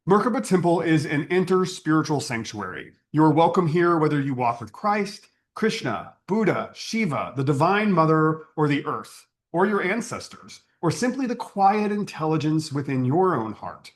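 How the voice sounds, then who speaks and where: A man leading an online spiritual service, speaking in an earnest, measured, solemn way as he reads a prepared address. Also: fairly high pitch, sing-song.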